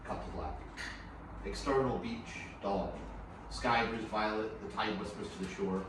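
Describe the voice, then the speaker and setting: A man's voice: fairly low pitch, fairly even intonation, measured pace. A man narrating scripted stage directions at a screenplay table read. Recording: distant, echoey room with clear noise.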